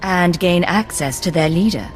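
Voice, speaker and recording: A cool, sardonic secret agent, voiced by a woman, answers in a slightly low, dry, cutting voice. Soft game music plays underneath. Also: moderate pace, close to an everyday voice. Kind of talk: video game cutscene acting.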